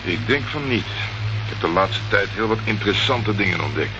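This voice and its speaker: An actor playing a tough, unflappable private detective in a radio play, terse and dry. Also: male voice, lowish voice, expressive, fairly quick, lightly acted. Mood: dry, composed. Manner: terse, dry, probing questions. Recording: muffled, noisy old radio recording.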